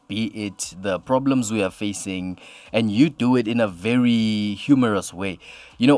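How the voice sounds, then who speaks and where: A man hosting a radio show and interviewing a guest, friendly and complimentary, leaning on "you know" a lot. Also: on the low side.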